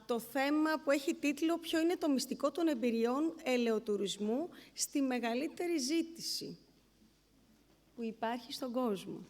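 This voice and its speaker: A woman with a fairly high voice introduces the next speaker at a conference. She reads out the speaker's background and talk title. Her delivery is chatty and friendly. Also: lively intonation, moderate pace, darkish tone. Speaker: event presenter.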